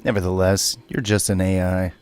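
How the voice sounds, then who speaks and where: Deep-voiced guy doing a casual game tutorial, talking to the game, soft music under him. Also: level tone, moderate pace, clear, resonant tone. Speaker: video host and gamer. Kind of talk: casual game tutorial narration with spoken voice commands.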